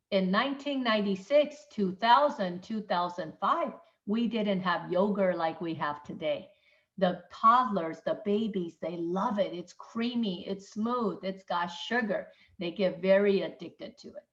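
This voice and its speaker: A woman's voice: mid-range voice, dull audio. A middle-aged woman from Taiwan, a doctor giving an informal webinar talk, speaking emphatically and checking in with her listeners. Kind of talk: informal lecture.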